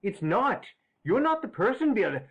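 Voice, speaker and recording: A woman's voice: fairly high pitch, very animated, unhurried, husky, exaggerated voice. A woman reading a storybook voicing a scheming old man who is frantic and wheedling. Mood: agitated. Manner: agitated, wheedling, intense.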